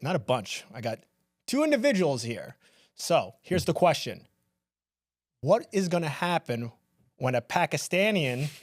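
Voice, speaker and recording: A man's voice: a little high, dry recording. A man with a New York accent hosting a podcast in a studio, joking around in casual, rambling chat full of restarts. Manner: jokey, playful, rambling with restarts. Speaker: podcast host. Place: small studio.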